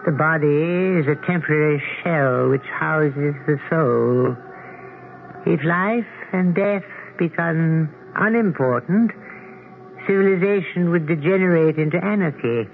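An actor playing a lofty otherworldly authority, formal and admonishing, in a 1970s radio drama. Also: male voice, on the high side, a little slow, gravelly, phone-quality sound, soft background music. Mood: stern, solemn. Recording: thin 1970s radio recording.

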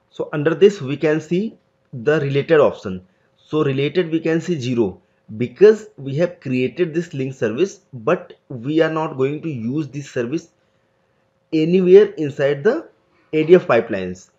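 A man's voice: fairly high pitch, warm tone. Guy doing a screen tutorial, step by step, kinda dull lo-fi audio. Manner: step-by-step explanatory, loose phrasing. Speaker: tutorial instructor. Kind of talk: screen-recorded software tutorial.

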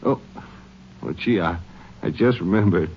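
An actor playing a folksy, talkative small-town Texan with colloquial, drawling talk in a 1950s radio western. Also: male voice, sits low, a little slow. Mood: helpful. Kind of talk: 1950s radio drama acting. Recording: muffled, noisy old radio recording.

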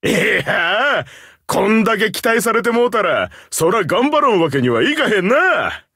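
A man voicing a genial, confident middle-aged hero commander in a mobile game, with an Osaka Kansai accent, a husky voice and an expressive delivery. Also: high-pitched, unhurried, dry recording, studio quality, exaggerated voice. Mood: playful, teasing. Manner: expressive, teasing, rallying. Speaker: game character voice actor. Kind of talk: Japanese mobile game character voice lines.

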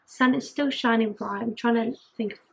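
Brief: young woman vlogging at home, gravelly husky tone, a touch high, rambling with lots of ums. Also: fairly quick, a little hiss or hum, low-quality recording. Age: young adult. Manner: rambling, chatty, with many ums. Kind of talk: casual vlog chat to camera. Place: home living room and bedroom, indoors. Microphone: camera at arm's length.

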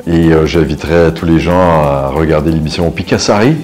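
A middle-aged man talking about himself in an interview, hesitating and pausing between short phrases. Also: very deep voice. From Canada. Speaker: videographer, photographer and musician being interviewed. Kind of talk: interview self-portrait. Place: indoor photo studio. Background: background music.

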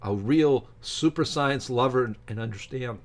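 A middle-aged man reads aloud haltingly from a book and breaks off to give his opinionated, informal commentary as a channel host. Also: medium pitch. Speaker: YouTube science channel host. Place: small home office with bookshelves, indoors.

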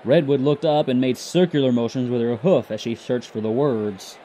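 A man's voice: medium pitch, moderate pace, mellow, slight background noise, poor audio. A man narrating a scripted story between lines of character dialogue.

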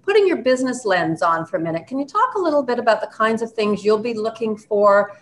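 A woman in her fifties calmly asking a candidate a question on a video call from home. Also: on the high side. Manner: measured, inquiring. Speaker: moderator. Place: home, bright room indoors. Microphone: video call webcam.

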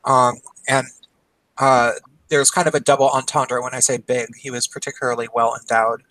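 A man's voice: mid-range voice, level tone, normal speed, pushed and bright, dry recording, rough recording. A man in his thirties hosting a podcast, chatting casually and laughing often over a call. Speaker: podcast host. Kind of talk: casual chat.

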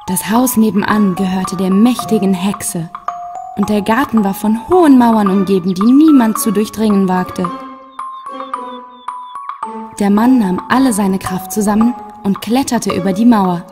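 A woman reads a scripted fairy tale for children at a medium pitch. The recording has long reverb and clear music behind her. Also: moderate pace. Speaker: storyteller.

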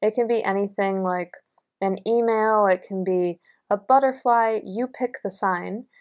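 A woman's voice: medium pitch, a little slow, a little mellow. Young woman tarot reader, earnest and reassuring, voice slightly worn. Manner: earnest, encouraging, lots of fillers. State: losing her voice. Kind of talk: pick-a-card tarot reading. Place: indoors at a table, only hands seen.

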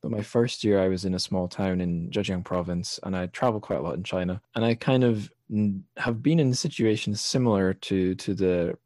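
Low-pitched man chatting as podcast host. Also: normal speed, few pauses, a little mellow, no echo, clean studio sound. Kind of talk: casual literary discussion.

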